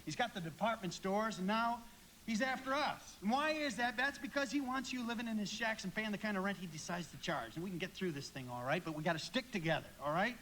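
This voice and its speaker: An earnest young small-town man in a live radio drama. His voice runs high, with a folksy, halting urgency. The recording carries a slight hiss. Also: lively intonation, a bit bright, poor audio. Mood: pleading. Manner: pleading, folksy, stammering. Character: earnest, impassioned young small-town male loan manager.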